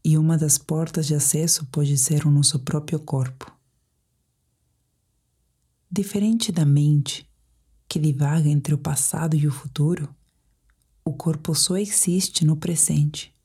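A Brazilian woman guiding a sleep meditation in a soft, whispery, breathy voice, speaking in a calm, measured, soothing way. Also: low-pitched. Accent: Brazilian Portuguese. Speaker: meditation guide.

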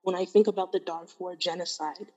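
A woman's voice: lowish voice, steady tone, fairly quick, dark tone, long reverb tail. A woman from Canada talking in a casual discussion, earnest and thoughtful, with many fillers and restarts. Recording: dull, hollow-sounding recording.

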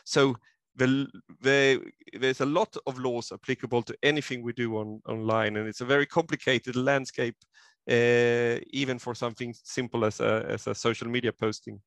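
Measured professor, dry sound. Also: male voice, mid-range voice, level tone, fairly bright tone, no room sound. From Sweden. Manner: measured, explanatory, occasional restarts. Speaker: law professor, guest. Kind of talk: interview over video call. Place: home office with bookshelf. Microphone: video call.

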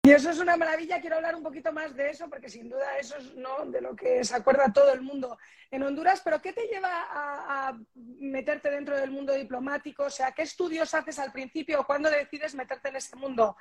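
A woman hosts a podcast interview, posing long questions that wind through several thoughts before landing. Her voice sits high. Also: fairly even intonation, a little fast. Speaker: podcast host.

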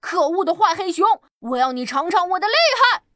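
A tiny, quick-witted little boy who teases and outsmarts a bear. The voice is very high, bright and bouncy, recorded dry and close. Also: animated, no echo, full character voice. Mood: defiant. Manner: cheeky, taunting. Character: small clever brave farm boy.

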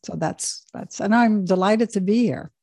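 A woman's voice: mid-range voice, a little slow, creaky, darkish tone, no room sound. Swiss-raised woman coach, dry sound. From Switzerland. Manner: hesitant, many fillers and restarts. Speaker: guest, executive coach. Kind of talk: casual interview talk.

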